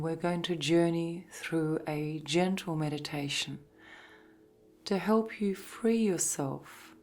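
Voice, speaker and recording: A woman gently narrating a guided sleep meditation in a soft, breathy, soothing voice, with measured phrases and long pauses. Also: deep voice. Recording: voice over background music. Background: meditation music.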